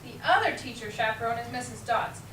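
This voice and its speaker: Female reader's theater narrator, crisp and bright, picked up from a distance with faint noise. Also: on the high side, brisk. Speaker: teacher, narrator. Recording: echoey room, distant mic, faint noise.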